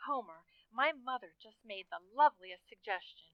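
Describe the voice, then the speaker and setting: A woman's voice: fairly high pitch, animated, normal speed, smooth, dry recording, lightly acted. A woman playing a sweet, gushing teenage girl on the telephone in old-time radio comedy style, with a muffled sound. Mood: delighted.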